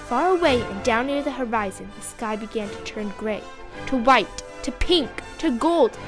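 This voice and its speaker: High-voiced young female story narrator. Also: expressive, moderate pace, over music.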